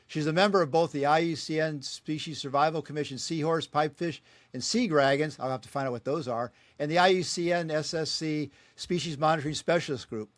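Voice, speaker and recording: A man's voice: fairly high pitch, steady tone, a little fast, dry recording. A man hosting a podcast, reading his guest's introduction and joking about names he can't pronounce. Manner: friendly, chuckles at his own asides. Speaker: podcast host. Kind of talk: reading a guest introduction, then casual interview.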